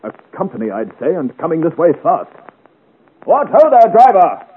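A man's voice: fairly high pitch, wildly swooping pitch, moderate pace, phone-quality sound. An actor playing a courteous, confident, big young swordsman in a 1950s radio serial, formal and earnest. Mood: alert, bold. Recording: scratchy 1950 radio recording, thin band.

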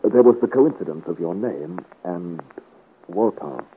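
An actor playing an earnest, gentle adventurer hero in a 1950s radio serial, speaking in a solemn, formal and careful way. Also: male voice, sits low, animated, unhurried. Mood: gentle, probing. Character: earnest, gentle young adventurer hero of 17th-century France. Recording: scratchy, noisy, tinny 1950s radio recording.

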